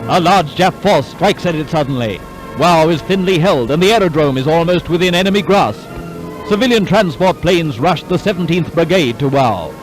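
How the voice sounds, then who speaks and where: Male newsreel announcer, 1940s style, higher-set voice, declamatory delivery over prominent music on a vintage soundtrack. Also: animated, normal speed, low-quality recording. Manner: dramatic, clipped, declamatory. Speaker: newsreel narrator. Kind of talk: 1940s wartime newsreel narration, scripted. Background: orchestral newsreel music.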